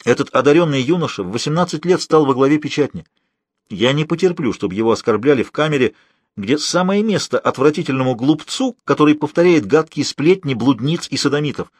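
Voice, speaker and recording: A stern, proud nobleman in a historical audiobook, played by a male narrator. He speaks with commanding scorn and indignation in a husky voice, recorded dry and close. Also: fairly high pitch, expressive, brisk, no echo, voice pushed up. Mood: indignant.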